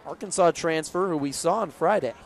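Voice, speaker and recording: High-voiced young male baseball radio announcer. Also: brisk, creaky. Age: young adult. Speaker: play-by-play broadcaster. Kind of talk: live baseball radio commentary. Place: outdoor ballpark broadcast. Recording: crowd and stadium noise behind. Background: ballpark crowd.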